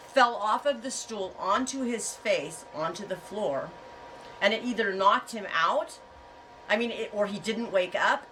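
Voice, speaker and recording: A woman's voice: medium pitch, sharp tone, recorded from a distance, a little hiss or hum, poor audio. A woman on her farm vlog, chatting and rambling to her viewers with a stuffy head cold, laughing often. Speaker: yarn and fiber farm vlogger. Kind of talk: casual storytelling to viewers. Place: home on a farm.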